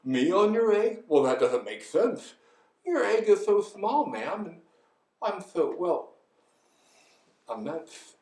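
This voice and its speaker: A woman voicing a huge, gentle, faithful male elephant who speaks haltingly and earnestly in a children's story. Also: on the low side, very animated, echoey room, distant mic, voice pushed up. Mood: bashful, flustered.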